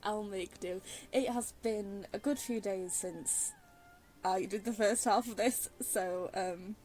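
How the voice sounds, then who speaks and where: A woman's voice: mid-range voice, takes it slowly, a little mellow, faint music behind, poor audio. A woman giving casual commentary as she plays through a game, rambling and hesitant, given to giggling. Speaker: gaming streamer.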